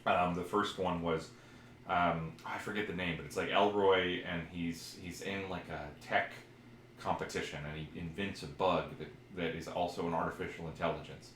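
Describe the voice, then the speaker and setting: A man with a very deep voice talks casually as a podcast co-host about old games. He rambles and feels around for details. The room is echoey, with some background noise. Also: steady tone, normal speed, fairly bright tone. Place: indoor room, recorded live on stream. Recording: roomy echo, clear background noise.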